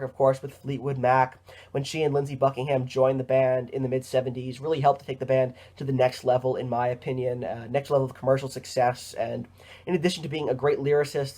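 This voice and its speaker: A man with a cold talks through a ranked countdown, his voice a little nasal. He speaks quickly and keeps his pitch narrow and level, padding his sentences with casual fillers. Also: a little mellow. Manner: chatty, many fillers like "you know". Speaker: music video host.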